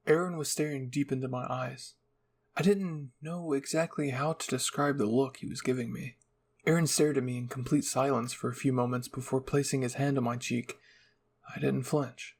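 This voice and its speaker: Casting: male narrator for fan fiction, scripted reading with an average-pitched voice. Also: gravelly, breathy edge. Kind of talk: scripted story narration, ASMR roleplay reading.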